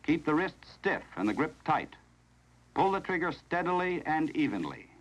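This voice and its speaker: An American man reading scripted narration in the formal, measured, instructional manner of a 1970s training film, on a vintage film soundtrack. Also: a little high, sing-song, a bit bright, muffled recording.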